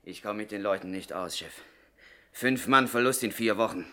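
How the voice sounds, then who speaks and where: An actor playing a grumbling, put-upon gangster henchman who complains to his boss, in a 1960s radio drama. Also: normal speed. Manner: grumbling, complaining, lively swings in pitch.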